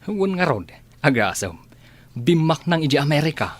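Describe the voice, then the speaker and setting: A man's voice: fairly high pitch, very animated, moderate pace. An actor with an Ilocano Filipino accent playing a plain-spoken, grumbling family man in a radio drama. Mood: chatty.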